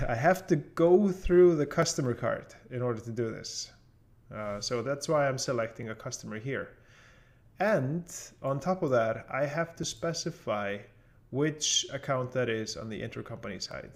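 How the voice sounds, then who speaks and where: A man narrating a software tutorial in a casual way, explaining things step by step as an instructor. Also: medium pitch, animated, normal speed, gravelly.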